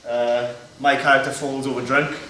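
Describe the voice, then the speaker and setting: A man giving an informal, explanatory demonstration as a Foley artist, pausing as he shows each item. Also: mid-range voice, little pitch movement. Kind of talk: informal demonstration of sound-effect props. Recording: echoey, distant mic, loud noise and clicks.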